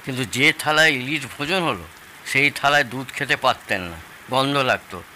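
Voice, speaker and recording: A man's voice: mid-range voice, normal speed, bright, forceful tone, a little hiss or hum, rough recording. A man playing a genial, nostalgic older father-in-law who loves telling stories in an audio drama. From Bangladesh. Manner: expansive, nostalgic storytelling. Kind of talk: Bengali audio drama acting.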